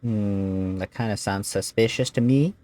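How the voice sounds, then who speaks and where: A man's voice: lowish voice, a little slow, mellow, soft background music. A man giving casual, offhand let's-play commentary with short interjections. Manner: offhand, interjecting, humming along. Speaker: gaming video creator.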